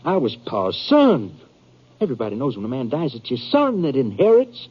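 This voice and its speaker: An actor playing a bitter, brooding western outlaw in a 1950s radio drama, speaking in halting, rustic, confessional fashion. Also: male voice, mid-range voice, huge pitch swings, husky. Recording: dull 1950s radio recording.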